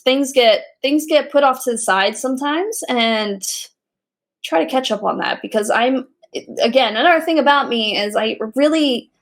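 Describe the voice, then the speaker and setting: A woman's voice: high voice, normal speed. A woman co-hosting a podcast, chatting casually and laughing often. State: pregnant. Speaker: podcast co-host. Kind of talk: casual chat.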